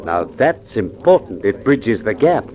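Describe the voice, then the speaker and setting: An actor playing a brisk, analytical detective with an English accent, speaking with assured, theatrical precision in a radio drama. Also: male voice, on the low side, big pitch swings, warm tone. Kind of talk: British radio drama acting.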